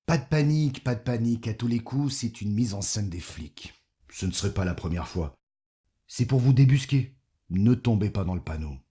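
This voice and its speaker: Smug mayor with a mellow male voice acted. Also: fairly low pitch, animated, normal speed, close to an everyday voice. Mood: patronising, pressing. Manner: patronising, cajoling. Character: smug, condescending, corrupt male mayor, coolly menacing. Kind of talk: French audio fiction acting.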